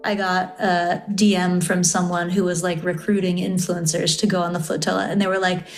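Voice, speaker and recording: A woman's voice: lowish voice, little pitch movement, normal speed, soft background music. A woman, talking casually and conversationally as an interviewee. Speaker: interviewee, singer-songwriter and activist.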